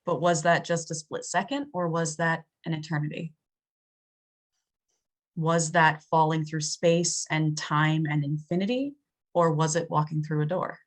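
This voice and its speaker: A woman improvising poetic, dramatic narration as a player in a tabletop horror game, in a measured manner. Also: low-pitched, flat delivery.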